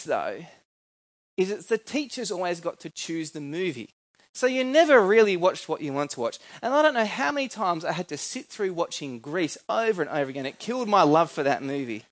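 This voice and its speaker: A man's voice: on the high side, no room sound. Australian man preaching, conversational, close dry sound. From New South Wales, Australia. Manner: conversational, informal, with rhetorical questions to listeners. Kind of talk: sermon with scripture reading and personal anecdotes.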